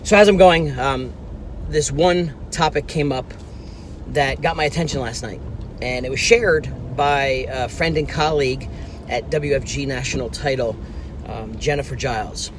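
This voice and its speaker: Man in his 50s, business coach, emphatic, phone in a car, mid-pitched, road noise behind. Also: a little slow. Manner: earnest, emphatic, repeats key points. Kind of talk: motivational talk to camera. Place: inside a parked car. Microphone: phone camera at arm's length.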